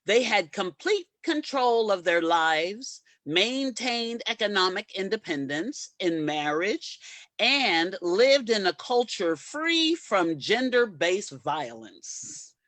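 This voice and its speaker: A woman's voice: medium pitch, big pitch swings, slow, a bit bright, no room sound. A woman performing a dramatic recitation as a reader's theater performer.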